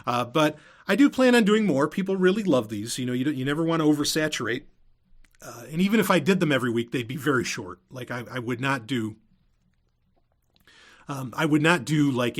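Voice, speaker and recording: A man's voice: medium pitch, lively intonation. A man hosting a podcast in casual, unscripted talk, rambling and doubling back on his sentences, with informal, emphatic phrasing. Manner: rambling, halting, self-interrupting. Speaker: podcast host and audio drama creator.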